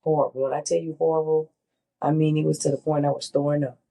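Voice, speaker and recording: A woman's voice: sits low, little pitch movement, fast talker, gravelly, warm tone, poor audio. A middle-aged woman, congested, talking candidly and emphatically in a casual sit-down interview. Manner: candid, emphatic, repeats words for stress. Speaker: interviewee sharing her chemo experience.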